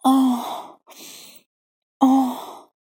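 Woman playing a breathy, doting mother figure. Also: high-pitched, monotone, extremely slow, no echo, clean studio sound, exaggerated voice. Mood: doting, possessive. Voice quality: breathy, whispery. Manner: soft, intimate, often whispering. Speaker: voice performer. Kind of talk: whispered adult audio roleplay.

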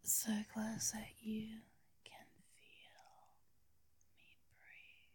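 A woman with an English accent speaking in a breathy whisper, sultry and teasing, in an intimate ASMR roleplay style. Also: on the high side, completely flat delivery, drawn-out words, a little mellow.

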